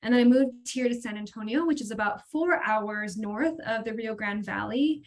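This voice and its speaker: Higher-pitched American woman on a panel. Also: moderate pace. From Hawaii, United States. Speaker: professional learning facilitator, former teacher. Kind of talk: casual panel talk with personal anecdotes. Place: indoors in front of a colourful backdrop.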